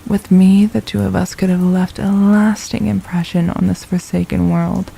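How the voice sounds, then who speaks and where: A woman playing a possessive, condescending royal villain in an ASMR roleplay, speaking in a soft, silky, deliberate murmur with menace and mockery. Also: medium pitch, level tone, gravelly, a little hiss or hum. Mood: musing, condescending. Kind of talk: ASMR roleplay, intimate scripted monologue.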